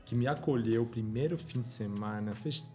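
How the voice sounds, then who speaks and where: A man's voice: medium pitch, moderate pace, dark tone, faint music behind. A middle-aged man from France, a podcast guest talking in a casual chat, earnest and emphatic, often repeating words as he speaks. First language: French.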